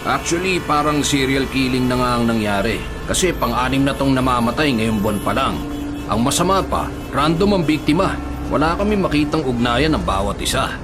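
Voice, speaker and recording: A man's voice: medium pitch, expressive, fairly quick, over music, natural voice. An actor playing a gossipy, matter-of-fact male police officer explaining things in a Filipino radio drama, with a Filipino accent. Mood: grave, confiding. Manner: forthcoming, explanatory.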